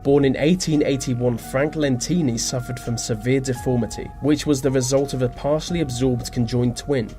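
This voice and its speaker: A man reading scripted narration for a list video, slipping into dry, deadpan asides. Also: medium pitch, moderate pace, few pauses. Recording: music behind the voice. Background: background music.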